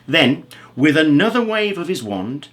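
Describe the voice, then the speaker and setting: A man narrates a children's story as a storyteller. His fairly high voice rises and falls dramatically, keeping the narration extremely animated. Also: a little slow.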